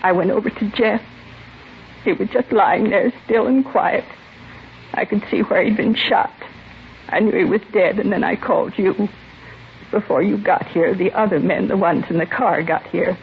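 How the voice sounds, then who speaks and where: An American woman acting the part of a grieving, resigned mother in her late forties, subdued and halting, in a 1950s radio drama. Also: medium pitch, gravelly, close to an everyday voice. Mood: shaken, grieving. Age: middle-aged. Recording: dull, noisy vintage radio recording.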